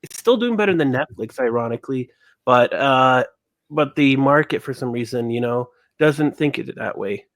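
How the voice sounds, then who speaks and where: Male panelist, medium pitch, dry room-free sound; halting, explanatory casual analysis. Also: lively intonation, fairly bright tone, dry recording.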